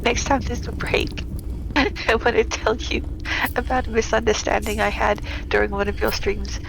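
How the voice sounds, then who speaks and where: A woman's voice: fairly high pitch, fairly even intonation, normal speed, gravelly, background noise. A woman chatting playfully with a streamer, often giggling as she talks. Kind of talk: casual chat.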